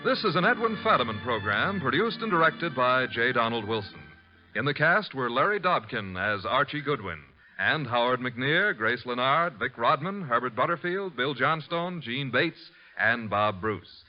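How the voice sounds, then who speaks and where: A man's voice: medium pitch, very animated, normal speed. A man with an American accent reading scripted credits as a vintage radio announcer, polished and formal. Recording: muffled old radio broadcast recording. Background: music.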